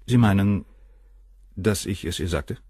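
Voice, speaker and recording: Deep, husky, haughty professor. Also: male voice, measured pace, a little mellow, dry recording, clean studio sound. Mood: detached, self-assured. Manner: detached, haughty, precise. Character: cold, arrogant professor of surgery, unrepentant philanderer. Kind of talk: German crime radio play acting.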